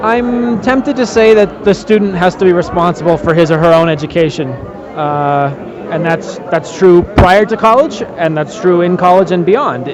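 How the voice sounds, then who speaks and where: A man in his late twenties with an American accent, giving thoughtful, measured and articulate interview answers. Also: sits high. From northeastern United States. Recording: muffled sound in busy public place. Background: crowd and urban noise.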